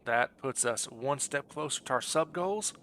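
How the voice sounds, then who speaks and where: Male game streamer, forward bright tone, amiable and rambling, off-the-cuff delivery over clear background noise. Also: mid-range voice, animated, measured pace. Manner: friendly, rambling, thinking aloud. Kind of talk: casual livestream chat over gameplay.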